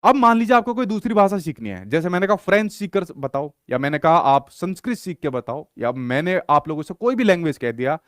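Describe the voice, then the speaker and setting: Young male teacher, repetitive lecture style, answers own questions, slightly high voice, dry sound. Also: very animated, fairly quick, few pauses, fairly bright tone, clean tone, no echo. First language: Hindi. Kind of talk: online exam-prep lecture.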